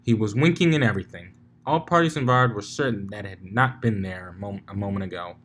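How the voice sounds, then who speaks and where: A man's voice: on the low side, quick pace, a bit bright, a little hiss or hum. A man reading fan fiction aloud as narrator, informal and sometimes stumbling over the text. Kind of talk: story narration.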